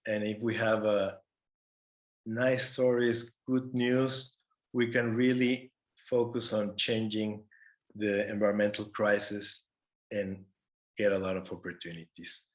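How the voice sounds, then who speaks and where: Slow-speaking man on a panel. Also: fairly low pitch, level tone. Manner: earnest, halting with repeated words. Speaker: panelist, conservation worker. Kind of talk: prepared but informal panel talk. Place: online video call.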